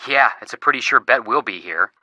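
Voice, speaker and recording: A wisecracking young detective from a video game. His voice bounces with lively pitch and a very bright edge. It is recorded close and dry. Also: fairly quick, no echo. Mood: playful. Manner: joking, punning. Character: young man amateur detective, joking brother.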